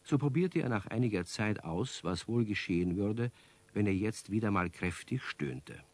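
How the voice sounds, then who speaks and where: A man narrating a children's radio play as a wry, knowing storyteller with a husky voice and measured delivery. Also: fairly low pitch, lively intonation, darkish tone.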